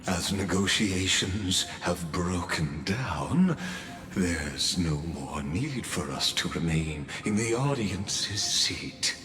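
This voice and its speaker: Very deep, very slow enigmatic schemer. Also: male voice, lively intonation. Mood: coolly dismissive. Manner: formal, measured, grandiloquent, deliberate pauses. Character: enigmatic, calculating male cosmic wanderer offering sinister bargains. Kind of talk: scripted video game character dialogue. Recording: echoey, with music underneath. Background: background music.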